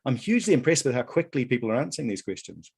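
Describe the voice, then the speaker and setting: A man hosting a live online quiz, reading out questions and answer options in a measured way. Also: medium pitch, big pitch swings, gravelly, a little mellow, slightly rough. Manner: reads options aloud in a measured list. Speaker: quiz host at an online conference.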